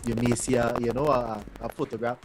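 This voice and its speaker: A man with a Trinidadian accent, co-hosting in a relaxed, conversational radio chat. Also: medium pitch, a little fast, noisy background. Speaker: co-host.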